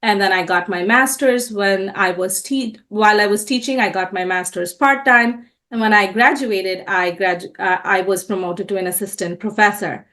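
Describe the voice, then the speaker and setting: A woman's voice: medium pitch, moderate pace, few pauses, bright, forceful tone. A woman in her thirties, born and raised in India, telling her life story as an interview guest, earnest and often restarting her sentences. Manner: earnest, many restarts and self-corrections. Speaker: entrepreneur guest.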